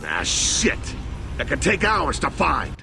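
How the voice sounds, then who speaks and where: A man playing a gruff, battle-weary soldier in a video game, blunt and terse with a gravelly voice. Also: fairly high pitch, huge pitch swings, slow, music in the background, full character voice. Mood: determined. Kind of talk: video game cutscene acting.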